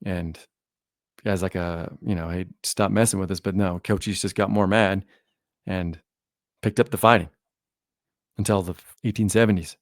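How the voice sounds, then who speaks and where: Guy with a lowish voice, kinda hoarse from being sick, rambles and corrects himself, dry close mic. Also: fairly even intonation, moderate pace, pauses often, no echo. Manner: rambling storytelling with self-corrections. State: losing his voice, sick. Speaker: guest historian. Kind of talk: casual podcast interview.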